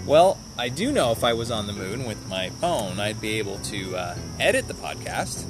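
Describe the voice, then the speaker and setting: A man's voice: on the low side, very animated, moderate pace, music in the background, rough recording. A man co-hosting a podcast with casual, joking chat. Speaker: podcast co-host.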